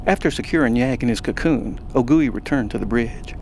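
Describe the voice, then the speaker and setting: Gravelly male narrator. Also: mid-range voice, brisk, darkish tone, slight background noise. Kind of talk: scripted story narration.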